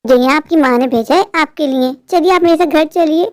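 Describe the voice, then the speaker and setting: Woman playing a kind motherly lady in a cartoon, reassuring n gentle, highish voice, no echo. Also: a little fast, studio quality, lightly acted. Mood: concerned. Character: kind, protective motherly woman taking in a child. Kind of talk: melodramatic Hindi cartoon moral story.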